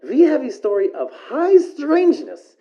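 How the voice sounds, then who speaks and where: A woman's voice: sits high, wildly swooping pitch, unhurried, lo-fi sound, exaggerated voice. A woman playing the host of a paranormal radio call-in show, musing theatrically in a scripted presenting style. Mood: intrigued, musing. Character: female host of an uncanny late-night radio show.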